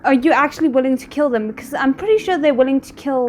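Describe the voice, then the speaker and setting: A woman's voice: sits high, racing, darkish tone, a little hiss or hum. A woman giving casual, wry reaction commentary, putting rhetorical questions to the characters on screen. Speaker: reaction video host. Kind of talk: casual live reaction commentary to a drama series.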